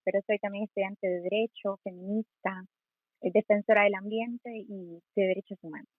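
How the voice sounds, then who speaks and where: A young woman in her twenties from Honduras with a Honduran accent, a student activist answering interview questions in a measured, thoughtful way. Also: mid-range voice, steady tone, slightly rough, no room sound. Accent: Honduran Spanish. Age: 20s. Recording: tinny phone-like call audio.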